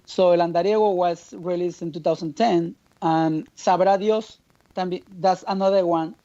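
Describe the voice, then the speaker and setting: A man from Mexico with a Mexican accent, a native Spanish speaker, speaking haltingly as a percussionist guest on a video call. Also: sits high, fairly even intonation, dark tone, lo-fi sound. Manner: halting, searching for words. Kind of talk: casual remote interview.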